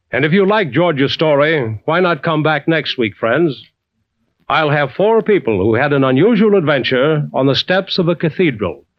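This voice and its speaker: Folksy storytelling adventurer, higher voice. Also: male voice, no echo. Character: adventurer storyteller, genial and folksy, addressing friends. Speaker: host narrator. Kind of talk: 1940s radio drama narration. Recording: muffled old radio recording.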